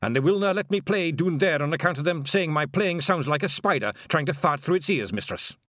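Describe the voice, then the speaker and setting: An eager young pixie lad with a thick Scottish lilt chatters high and fast, as a man puts him on. His voice leaps about with dutiful excitement. The recording is close and dry. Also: no room sound.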